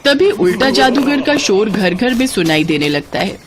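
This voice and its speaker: A woman's voice: a little high, sing-song, moderate pace. A woman narrating a scripted children's story, on a noisy recording. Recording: clearly noisy background.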